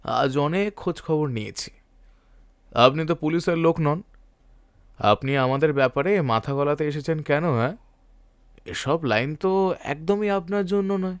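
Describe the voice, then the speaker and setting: A man's voice: fairly high pitch, a little slow, pauses often, clean tone, no room sound. A man playing a menacing smuggling boss in an audio drama, drawling and mock-polite. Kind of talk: Bengali audio drama acting. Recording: music behind the voice.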